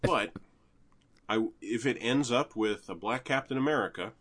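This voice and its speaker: A middle-aged man hosting a podcast, chatting casually with an animated, joking manner and frequent laughs. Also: medium pitch, moderate pace, husky. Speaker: podcast host. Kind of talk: casual chat.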